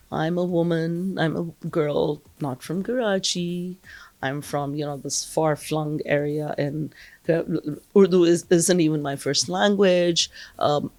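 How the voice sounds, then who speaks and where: Pakistani lady, dean type, gravelly voice, measured chatty telling, dry room. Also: sits low, a little mellow, no room sound. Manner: measured, storytelling, frequent fillers. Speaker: dean of student affairs. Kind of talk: interview conversation. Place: TV studio.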